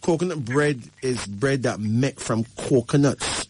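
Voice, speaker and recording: A man's voice: medium pitch, measured pace, a little mellow, husky, no echo. Emphatic man reading numbers on air. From Barbados. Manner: emphatic, repeats "right?" to the caller. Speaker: radio host.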